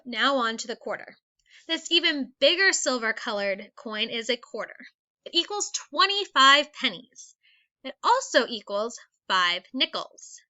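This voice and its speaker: Lady teacher doing a kids read-aloud lesson, high bright voice, kinda dull screencast audio. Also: sing-song, unhurried. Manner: instructional, counting out step by step. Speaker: elementary school teacher.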